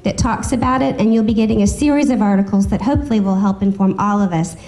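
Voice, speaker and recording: A woman's voice: medium pitch, fairly even intonation, quick pace, dark tone, a little hiss or hum. A woman chatting to a group as she makes informal announcements as host of a business meeting. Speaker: chamber of commerce host.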